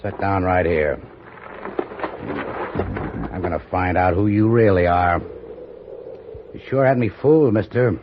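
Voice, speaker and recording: An American man playing a menacing, gruff Western outlaw in an old-time radio drama. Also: sits low, a little slow, pauses often. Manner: gruff, threatening, folksy speech. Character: menacing Western outlaw, tough but uncertain gunman. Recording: noisy muffled old radio recording.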